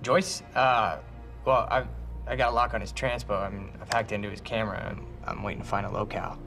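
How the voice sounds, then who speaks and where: A man's voice: fairly low pitch, steady tone, creaky, bright, forceful tone, soft background music. A man in his thirties with an American accent playing a smug, sarcastic computer hacker in a screen thriller. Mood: flustered. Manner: smug, swearing, drawn-out emphasis. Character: cocky, sarcastic male computer hacker bossing his assistant. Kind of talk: live-action thriller screen acting.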